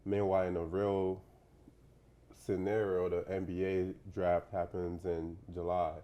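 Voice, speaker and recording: Young man from Nigeria, low and flat-toned, relaxed interview guest. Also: measured pace, muffled recording. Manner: relaxed, rambling, many fillers and "you know". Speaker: professional basketball player, guest. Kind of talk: casual interview talk.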